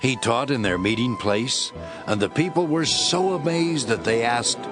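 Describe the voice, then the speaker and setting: A man narrating scripture from a script in a measured storytelling manner. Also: mid-range voice, music in the background.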